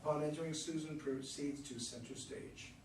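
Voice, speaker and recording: A man narrating stage directions at a play reading, plain and a little halting. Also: fairly high pitch, darkish tone. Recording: echoey room, distant mic, faint noise.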